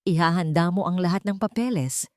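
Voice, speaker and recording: A woman playing a cold, stern executive who speaks in measured, commanding words in an audio drama. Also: lowish voice, no room sound, clean studio sound, natural voice. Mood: curt command.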